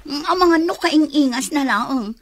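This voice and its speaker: A woman's voice: high-pitched, slow pace. A woman playing an evasive, defensive young woman in a radio drama, with a Filipino accent. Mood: flustered, evasive.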